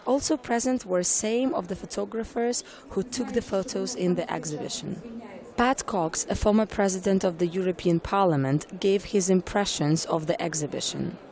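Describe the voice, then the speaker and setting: A woman reporter reads a scripted news report in a clear, formal way. Her voice sits at a mid pitch. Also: normal speed, darkish tone.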